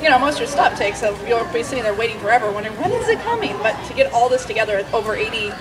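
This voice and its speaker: A woman speaking loudly and enthusiastically on a panel at an outdoor book fair, holding the microphone away from her face. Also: fairly high pitch, animated, very fast. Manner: loud, enthusiastic. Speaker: comics artist, panelist. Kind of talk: panel discussion answer. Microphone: handheld microphone held away from face. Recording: distant mic outdoors with crowd. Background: crowd noise of an outdoor fair.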